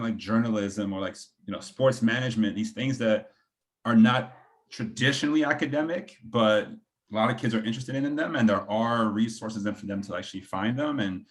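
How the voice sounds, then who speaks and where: A man from Belgium speaking informally as a panelist, talking in a meandering, self-deprecating way. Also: lowish voice. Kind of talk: casual panel discussion.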